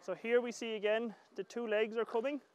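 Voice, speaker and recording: A middle-aged man with an Irish accent giving calm, measured hands-on instructional commentary as an agriculture professor. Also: very high-pitched, muffled recording, slight background noise. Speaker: agriculture professor demonstrating lambing. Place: large open livestock shed with straw pens.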